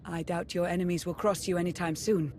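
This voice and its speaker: Actress as loyal game adviser, composed, slightly low pitch, noticeable background ambience. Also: female voice, fairly even intonation, a little fast, darkish tone, slight background noise, natural voice. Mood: sober. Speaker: adviser to the commander. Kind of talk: dramatic video game acting.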